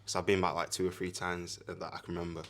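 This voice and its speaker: Deep, flat-voiced young Yorkshire man. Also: fast. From Sheffield, England. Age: young adult. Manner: laid-back, rambling with many "like"s. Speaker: guest athlete. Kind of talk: casual interview chat.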